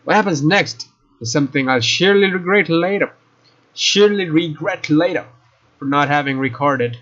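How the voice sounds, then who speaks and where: A man reading a visual novel aloud as a let's player, expressive, with informal asides. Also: fairly high pitch, normal speed. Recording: muffled sound under loud music. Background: loud game music.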